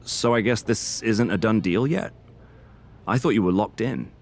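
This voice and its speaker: A man's voice: lowish voice, measured pace. A man playing a placating wrestling general manager, speaking formally and a little floridly in a video game cutscene. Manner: formal, diplomatic, somewhat florid.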